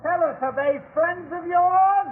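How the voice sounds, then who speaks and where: Tinny noisy old radio, extremely high-pitched angry agitator, belligerent, heckling, radio play. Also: male voice, measured pace. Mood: demanding. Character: hot-headed hostile Samaritan rabble-rouser. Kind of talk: 1940s radio drama acting.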